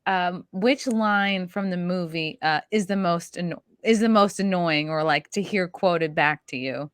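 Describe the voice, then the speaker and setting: A woman's voice: fairly low pitch, a little slow, smooth, dry recording, studio quality. A woman hosting an interview, asking curious, rambling questions with frequent giggles. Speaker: podcast host. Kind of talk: casual interview chat.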